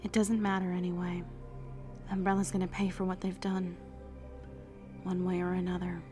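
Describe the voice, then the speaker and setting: A woman's voice: on the low side, little pitch movement, normal speed, lightly acted. A woman playing a wry, resolute young female team member in an audiobook, voicing thoughts and dialogue. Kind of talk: audiobook inner monologue and dialogue. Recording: music behind the voice.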